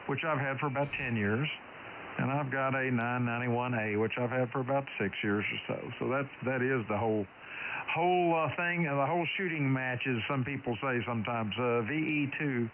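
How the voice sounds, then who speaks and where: A thin, narrow radio signal with clear hiss carries a man's mid-pitched voice. He talks as a ham operator in a folksy, conversational way, keeping the contact casual. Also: moderate pace. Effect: shortwave radio transmission.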